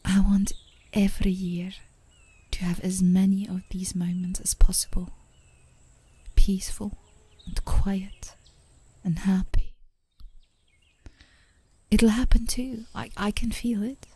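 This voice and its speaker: A woman playing a tender, playful, adoring girlfriend in an intimate ASMR romantic roleplay, speaking softly and gently, often in a whisper. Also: medium pitch, fairly even intonation. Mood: gentle, hopeful. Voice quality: soft, breathy, often whispering. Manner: gentle, affectionate, unhurried, giggly. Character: tender, playful, adoring girlfriend comforting her partner outdoors.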